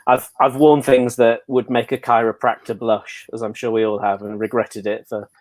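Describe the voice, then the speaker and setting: A man's voice: mid-range voice, level tone, normal speed. A man chatting casually on a panel as a performer and designer, hesitant, wry and self-deprecating. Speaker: pantomime dame performer and costume designer.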